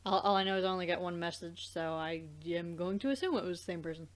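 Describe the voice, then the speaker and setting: Rambling woman host in casual gaming chat. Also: on the low side. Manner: rambling, halting, circles back mid-thought. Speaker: let's play host.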